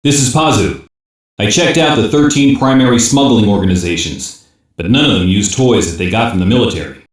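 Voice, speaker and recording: A man's voice: on the low side, expressive, a little fast, natural voice. An actor playing a cool, matter-of-fact male police operative, giving terse field reports in dubbed anime game dialogue. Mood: cool, factual. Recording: very echoey room sound.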